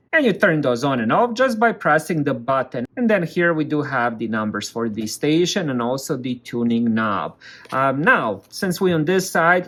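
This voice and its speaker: A man's voice: fairly high pitch, a bit bright. A man giving a casual hands-on product review, explaining things plainly step by step.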